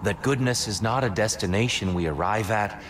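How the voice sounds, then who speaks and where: Man as a low-voiced, measured wise war god. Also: noisy background, close to an everyday voice. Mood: calm counsel. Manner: measured, articulate, philosophical. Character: wise, measured male warrior god, counselling calmly. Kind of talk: dramatic game acting.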